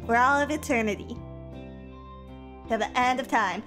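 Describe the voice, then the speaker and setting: A woman streaming a game in casual chat, a bit stuffy from a cold, going from low mumbles to loud excited yelling. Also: moderate pace. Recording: game music behind.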